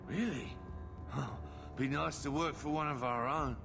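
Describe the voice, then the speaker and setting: A man's voice: mid-range voice, gravelly, music in the background. An actor playing a blunt, worried male miner foreman who swears freely, in a video game cutscene. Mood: relieved. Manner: blunt, swears, drawling and slow.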